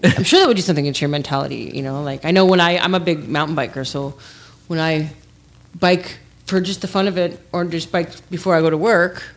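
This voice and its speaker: A woman guest on a radio show with a notably low voice, talking fast and with enthusiasm about her travels and hobbies. Her words tumble out quickly in a casual, chatty way. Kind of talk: casual chat.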